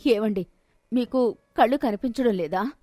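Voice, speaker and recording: A proud and jealous rich housewife from a moral storybook, as a woman storyteller plays her. Her high voice scolds, complains and insists stubbornly on having her way. The sound is dry. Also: moderate pace, warm tone, dry recording, natural voice. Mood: indignant, demanding.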